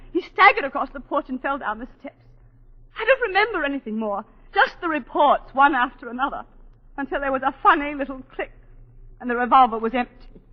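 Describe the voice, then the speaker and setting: High, swooping 1940s radio drama wife. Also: female voice. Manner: halting, emotive, theatrical storytelling. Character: distraught planter's wife recounting a killing, self-possessed and indignant. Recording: dull 1940s radio broadcast, long reverb.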